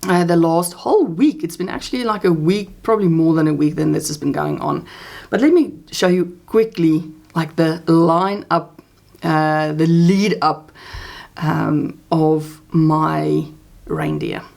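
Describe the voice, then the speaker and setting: A woman's voice: sits low, unhurried, gravelly, a little mellow. A woman whose first language is Afrikaans, chatting casually as host of her crochet channel, rambling with fillers and restarts. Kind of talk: casual crafting chat.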